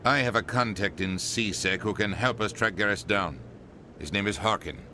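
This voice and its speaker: A man's voice: on the low side, normal speed, sharp tone, slight background noise. A man playing a stern, authoritative political official in a video game, with clipped delivery. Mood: businesslike.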